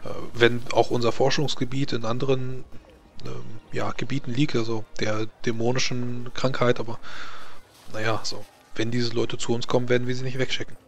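A man improvising in character as a tabletop game master, explaining things in a halting way. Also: mid-range voice, flat delivery, gravelly.

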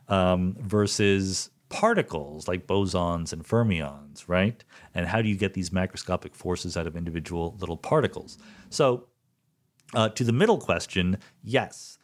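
A man with a fairly low voice and very lively intonation hosts a solo podcast. He explains ideas in plain, informal terms, in the manner of a conversation. He often checks in with the listener as he reasons aloud. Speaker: podcast host answering listener questions. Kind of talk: casual explanatory monologue.

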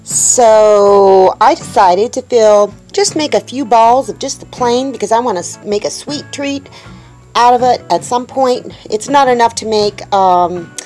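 A woman's voice: fairly high pitch, a little slow, darkish tone, faint music behind. A woman making a homestead vlog, chatting casually to her viewers as she shows a cooking step by step. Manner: chatty, upbeat, talks to viewers as folks. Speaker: homestead vlogger. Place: indoors in a small tiny-house kitchen.

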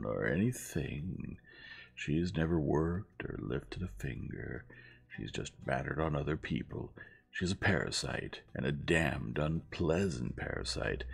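A man's voice: rumbling low, vocal fry, breathy edge, heavily acted. A man voicing a belligerent, scornful young radical with a blunt manner in an audiobook. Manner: blunt, contemptuous, snorting. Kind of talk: audiobook character acting.

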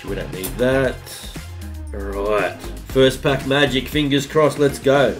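A man, hosting a casual vlog where he opens trading card packs. His voice is slightly husky from a recent bug, and he talks in an upbeat, chatty way. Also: mid-range voice, slow pace, music in the background. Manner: upbeat, hype-building, chatty. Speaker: card-opening YouTuber host. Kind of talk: casual pack-opening vlog. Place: home room with colored lights. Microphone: studio mic on a desk arm.

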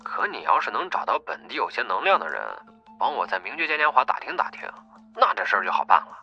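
A man's voice: mid-range voice, very animated, fast, soft background music. A man playing a stubborn, determined young gang member in an audiobook, heard through a telephone filter. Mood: insistent. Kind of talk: audiobook character acting. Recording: dull phone-filtered sound.

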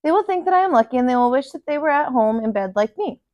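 A woman's voice: sits high, lively intonation, fast, a little mellow, dry recording, lo-fi sound, voice pushed up. Woman putting on a whiny reluctant girl. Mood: pleading. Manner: whiny, pleading. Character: anxious young girl refusing school.

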